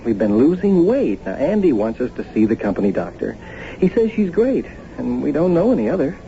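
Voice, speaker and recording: Easygoing husband, very mellow voice. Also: male voice, on the high side, big pitch swings, brisk. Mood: concerned. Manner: casual, matter-of-fact. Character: easygoing, practical working husband, an adult man. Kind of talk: radio drama acting. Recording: muffled, noisy old radio broadcast.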